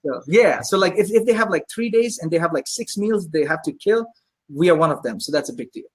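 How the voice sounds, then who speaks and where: A man with a husky, slightly breathy voice, talking quickly as a guest in a casual chat. He tells stories in an animated way, pitched a bit high for a man and full of "uh"s and restarts. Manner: animated storyteller, many fillers. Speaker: pizzeria owner and guest.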